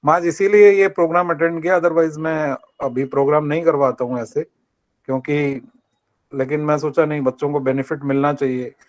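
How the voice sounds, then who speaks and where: A man's voice: a little high, flat delivery, normal speed. Older man giving earnest advice over a dull online call. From Rajasthan, India. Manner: earnest, repeats points and asks students to answer back. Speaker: guest speaker at a college workshop. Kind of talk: motivational talk to students. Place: online video meeting.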